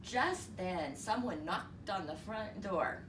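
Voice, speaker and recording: A woman reading a story aloud in an expressive, explanatory way, as if to young listeners. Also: medium pitch, takes it slowly. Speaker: storyteller reading a picture book. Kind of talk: read-aloud story narration. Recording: roomy, distant mic, noisy.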